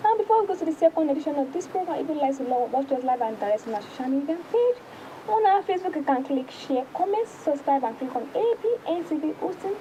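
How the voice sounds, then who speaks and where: A Nigerian woman presents a newspaper review in a high, mellow voice. Her formal reading swings up and down in pitch and sometimes stumbles, with a faint rumble of noise behind it. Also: very animated, quick pace, low-quality recording. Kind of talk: news reading and paper review.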